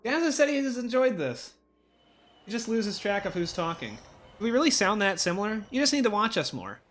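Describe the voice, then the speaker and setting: Higher-voiced rambling man. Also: very animated, brisk, fairly bright tone, slight background noise. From Ohio, USA. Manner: rambling, hesitant, many fillers and restarts. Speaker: co-host. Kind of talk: casual gameplay chat.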